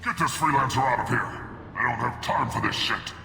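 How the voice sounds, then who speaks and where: An actor playing a stressed, hot-tempered mercenary commander in a video game, curt, profane and forceful. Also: male voice, mid-range voice, brisk, vocal fry, over music, natural voice. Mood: furious. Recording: roomy hall reverb, faint noise.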